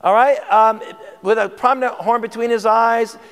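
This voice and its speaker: A man's voice: very high voice, fairly even intonation, moderate pace, fairly bright tone, clear, resonant tone, big-room sound. A man preaching a sermon in a conversational way, asking the congregation questions, his voice tired. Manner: conversational, asks the audience questions. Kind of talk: sermon teaching.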